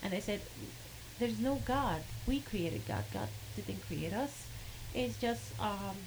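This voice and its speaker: A woman with an Arabic accent who speaks haltingly, with many fillers, and laughs between sentences as she tells a personal story. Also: medium pitch, expressive, background noise. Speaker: guest sharing a testimony.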